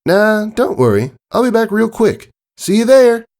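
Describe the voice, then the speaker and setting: Eager, sulky filly for a storybook, played by a man, pitched far up, casual and whiny. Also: sing-song, a little slow, a little mellow, heavily acted. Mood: eager, offhand. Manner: casual, whiny kid talk. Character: eager, sulky young girl pegasus pony.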